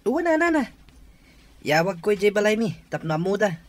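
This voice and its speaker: A man's voice: high-pitched, very animated, a little fast, dry recording. A man with an Ilocano accent playing an anxious, deferential young new father in a radio drama. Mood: subdued, worried. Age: young adult.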